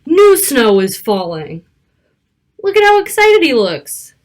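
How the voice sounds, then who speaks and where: A woman reading a picture book aloud to children, expressive, with casual asides about the pictures. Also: high-pitched, slow. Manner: child-directed, expressive, stretching key words and pointing out pictures.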